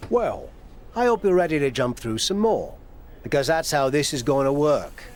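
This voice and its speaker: A man's voice: a little high, sing-song, moderate pace, a little hiss or hum. A middle-aged man playing a proud, crafty, teasing veteran wrestler who coaches frankly in a video game cutscene. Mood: wry, teasing. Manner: frank, coaxing, wry.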